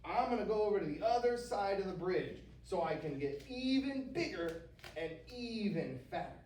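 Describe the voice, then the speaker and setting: A man voicing an eager, quick-thinking middle billy goat in a storybook tale, earnest and excitable. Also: high voice, pitched above the performer's own voice. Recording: roomy, distant mic with faint noise.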